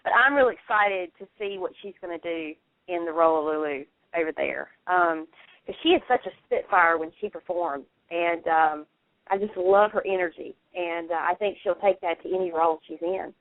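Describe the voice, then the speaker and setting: A woman's voice: fairly low pitch, normal speed, no room sound. Emphatic woman on a tinny phone line. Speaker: radio show panelist. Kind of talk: casual chat about TV soaps.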